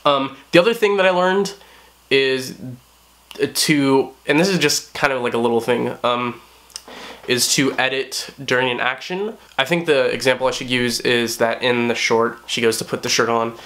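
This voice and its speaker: A young man with a hoarse voice, talking casually to the camera in a small bedroom, rambling with many fillers. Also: mid-range voice, normal speed, a bit bright. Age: young adult. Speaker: vlogger. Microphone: camera on tripod at close range.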